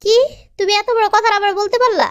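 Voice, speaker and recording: Stubborn young cartoon wife played by a woman, extremely high childlike pitch, fast complaining delivery, Bangladeshi Bengali accent. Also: steady tone, a bit bright. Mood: indignant. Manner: complaining, repeats words. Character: quarrelsome, stubborn young wife and sister-in-law. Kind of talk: cartoon family comedy drama.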